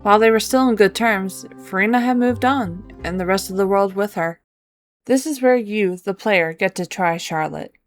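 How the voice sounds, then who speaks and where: A woman narrates a scripted game story in a mid-pitched voice. She works as a storyteller, and music plays behind her. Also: normal speed. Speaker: storyteller narrating a fan-written game quest.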